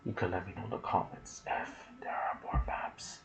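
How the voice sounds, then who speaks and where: A soft-spoken man narrating video game lore in an ASMR style, with a hushed, deliberate delivery in short phrases. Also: low voice, steady tone. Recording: clear background noise.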